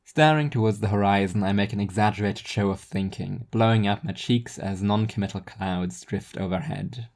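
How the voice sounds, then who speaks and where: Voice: deep voice, normal speed, few pauses, darkish tone. A narrator reading scripted first-person literary fiction in an introspective, wry manner.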